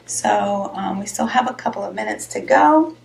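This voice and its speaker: A woman hosting a live health show, chatting casually and rambling a little, with a slightly hoarse, raspy voice. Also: mid-range voice, lively intonation, moderate pace, a little mellow. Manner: chatty, rambling, greets viewers by name. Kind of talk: casual live-stream chat. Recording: echoey room.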